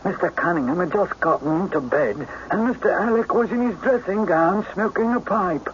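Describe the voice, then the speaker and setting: An eager, respectful police inspector, acted by a man reports facts in a high-pitched voice. The radio drama sound is dull, with faint hiss. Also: normal speed, natural voice. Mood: reporting. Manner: eager, factual, deferential. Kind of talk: radio mystery drama acting. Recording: muffled, hissy old radio recording.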